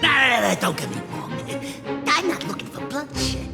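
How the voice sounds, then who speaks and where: Game crime boss: wily, boastful, gravelly creak, music and long reverb behind. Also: high-pitched, sing-song, normal speed, heavily acted. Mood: sly, coaxing. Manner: theatrical, chuckling, drawling interjections like gee and eh. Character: eccentric, wily old crime syndicate boss, theatrical and boastful. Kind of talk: theatrical video game voice acting. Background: game background music.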